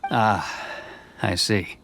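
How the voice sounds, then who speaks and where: An actor playing a dutiful, conflicted officer in a video game, speaking in a measured, formal way. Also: male voice, on the low side, fairly even intonation, vocal fry, fairly bright tone, a little hiss or hum, full character voice. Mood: reflective, restrained. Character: stern, dutiful officer man, conflicted but soft-hearted. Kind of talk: dramatic game dialogue acting. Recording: music behind the voice.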